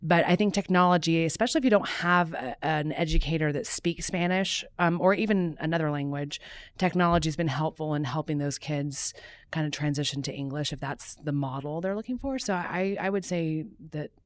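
Woman guest, education podcast, low voice, earnest, fillers, dry. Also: a little fast, no room sound. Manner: earnest, explanatory, frequent fillers. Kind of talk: casual podcast interview.

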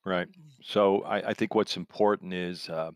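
A man with a notably flat, low-key melody explains his field as an interview guest. His delivery is conversational and wandering, and the sound is dry and close. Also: a little slow, no room sound. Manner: rambling, explains through analogies and imagined quotes. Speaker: interview guest, software industry expert.

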